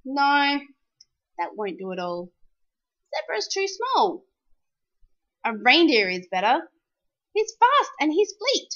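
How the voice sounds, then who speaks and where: A woman reading a rhyming children's storybook aloud in an expressive, animated, sing-song way. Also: sits high, slow pace, pauses often, lo-fi sound.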